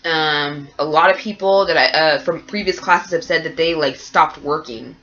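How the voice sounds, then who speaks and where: A nursing student chatting through a casual vlog update, sounding nasal and congested from a cold and rambling along with lots of fillers. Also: female voice, low-pitched, normal speed, fairly bright tone, dull audio.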